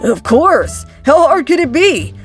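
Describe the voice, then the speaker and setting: A woman voicing a breezy, boastful hero mentor in an animated comic dub, showy and upbeat. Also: sits high, takes it slowly, soft background music, exaggerated voice.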